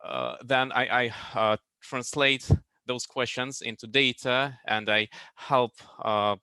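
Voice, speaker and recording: A man's voice: medium pitch, animated, slow pace, sharp tone, smooth, no room sound. A man who works as a consultant, speaking on a webinar video call in a hesitant way, with stammered restarts. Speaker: business consultant. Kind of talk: webinar talk.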